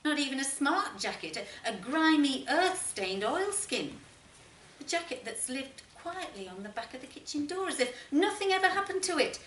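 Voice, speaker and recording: Expressive high-voiced woman reading a story. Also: normal speed. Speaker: short story reader. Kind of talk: expressive literary narration. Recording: roomy, distant mic, faint noise.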